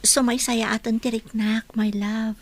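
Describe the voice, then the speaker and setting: A woman playing an ailing, weary young wife in an Ilocano radio drama, breathy and husky, with an Ilocano Filipino accent. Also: fairly high pitch, flat delivery, measured pace. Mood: tender.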